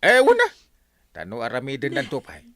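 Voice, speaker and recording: Animated, sharp Ilocano village captain. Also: male voice, mid-range voice, a little fast, no room sound. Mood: exasperated. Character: lively village captain, scolding, comic authority figure. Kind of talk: Ilocano radio comedy-drama acting.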